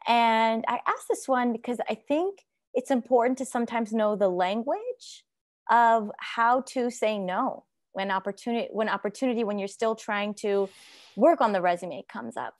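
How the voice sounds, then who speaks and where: A woman moderating a video-call panel, relaxed and affirming, reading out audience questions. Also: fairly high pitch, moderate pace, no room sound, clean studio sound.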